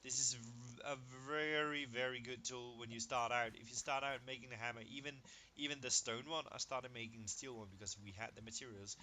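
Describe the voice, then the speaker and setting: A man with a Danish first language talks through his game in an easy, chatty way. He gets excited over each result and does sums aloud, over a clear steady hiss. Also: mid-range voice, brisk, hardly any pauses. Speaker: gaming video creator. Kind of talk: casual gameplay commentary.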